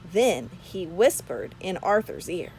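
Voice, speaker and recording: A woman narrates a children's story at a slow pace, with a very lively, swooping delivery. She phrases each piece deliberately and expressively, over faint background noise. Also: fairly low pitch, low-quality recording. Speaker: storybook reader. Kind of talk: children's read-aloud narration.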